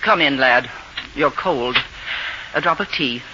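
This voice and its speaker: A woman's voice: extremely low pitch, takes it slowly. A woman acting a sensible, no-nonsense middle-aged mother with a firm, matter-of-fact manner, in 1940s radio style. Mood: dry, firm. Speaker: radio drama actor. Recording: dull, faintly hissy old radio recording.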